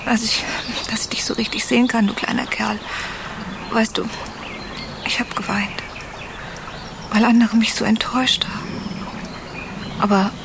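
A woman playing a sad, lonely grown woman in an audio story, talking softly in a half-whisper. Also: a little high, creaky. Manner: soft, hushed, half-whispered.

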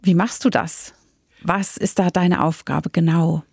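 Female interviewer: warm, lowish, touch of fry, composed questioning. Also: steady tone, unhurried, dry recording, studio quality.

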